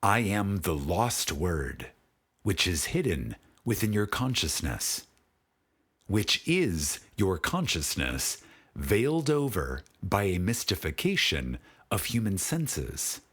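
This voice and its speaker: Slow, expressive male spiritual audiobook narrator. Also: deep voice, slightly rough. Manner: solemn, deliberate, with dramatic pauses.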